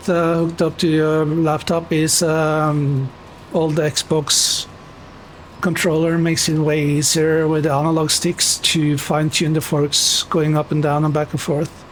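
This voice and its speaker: Slow-talking Norwegian-accented man streaming. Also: a little high, a little hiss or hum, low-quality recording. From Norway. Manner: relaxed, chatty, many fillers, answers chat. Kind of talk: casual chat while gaming.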